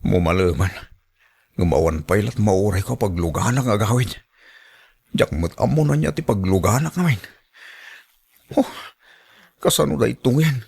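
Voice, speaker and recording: Guy playing a troubled young ilocano son in a radio drama, raspy creaky voice, swings up and down, pleading. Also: fairly low pitch, expressive, moderate pace, pauses often, a little mellow, full character voice. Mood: panicked. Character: cornered cheating young man, later injured, pleading son.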